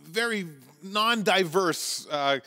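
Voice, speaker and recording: A Canadian pastor in his forties preaches with a high, bright voice that has a forceful edge. He is earnest and emphatic, pressing each point home. His words ring out with a long reverb from the church hall. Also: expressive, takes it slowly. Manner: earnest, emphatic, gestures constantly while preaching. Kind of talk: sermon. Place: church stage with wooden wall, large hall.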